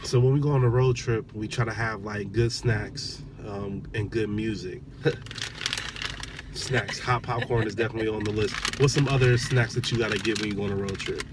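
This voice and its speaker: A man in his thirties talking casually in a parked car, relaxed and chatty with lots of "um"s, recorded on a dashboard camera. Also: fairly low pitch, normal speed, very noisy. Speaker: vlogger, father. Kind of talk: casual vlog chat.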